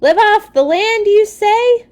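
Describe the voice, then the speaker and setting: A woman voicing an exasperated, indignant mother bear from a storybook, shouting sarcastic complaints. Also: very high-pitched, animated, takes it slowly, voice pushed up, full character voice. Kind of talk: storybook read-aloud character.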